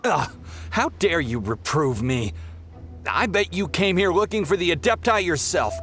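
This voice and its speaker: A man's voice: fairly high pitch, expressive, normal speed, a bit bright, faint music behind. An actor playing an irritable, scornful young male pilgrim who talks in an indignant, accusing way in a game.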